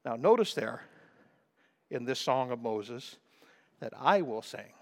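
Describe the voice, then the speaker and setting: Crackly-voiced preacher in an echoing hall. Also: male voice, medium pitch, lively intonation, slow pace. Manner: emphatic preaching with frequent throat clearing. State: slightly crackly voice. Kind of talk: sermon. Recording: long reverb of a large hall.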